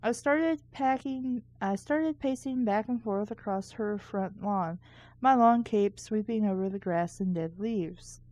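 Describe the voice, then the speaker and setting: A woman's voice: mid-range voice, measured pace, dark tone, low-quality recording. A woman casually reading a book aloud, with sarcastic, mildly crude asides and stumbles she corrects as she goes. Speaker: reader and reviewer of children's books.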